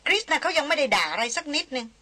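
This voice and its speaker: A woman reading the part of a calm young man on a hunting trek, speaking evenly and dryly. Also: high voice, slow pace, pitched above the performer's own voice, heavily acted. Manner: calm, even, dry.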